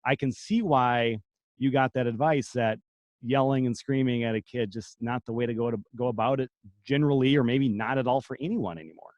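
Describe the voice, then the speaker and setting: A man's voice: medium pitch, a little fast, darkish tone, no echo, highs cut off. A man in his thirties hosting a podcast, asking thoughtful, reflective questions in conversation. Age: 30s. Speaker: podcast host. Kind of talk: conversational interview.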